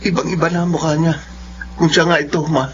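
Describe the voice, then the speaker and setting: A man's voice: fairly high pitch, flat delivery, quick pace, a bit bright, close to an everyday voice. A Filipino man playing a guarded, serious young student searching for his father, in a radio drama. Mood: startled, urgent. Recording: muffled radio drama, faint background noise.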